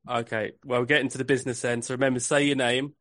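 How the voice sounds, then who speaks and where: A man hosting a casual podcast quiz, reading clues slowly and repeating them. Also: mid-range voice, level tone, a bit bright, no echo, clean studio sound. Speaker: podcast host and quizmaster.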